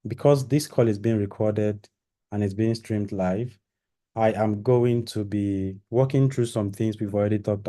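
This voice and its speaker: A man's voice: on the low side, dark tone. A man giving a live-streamed orientation talk to trainees, rambling and repeating himself with many fillers. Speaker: training program organizer.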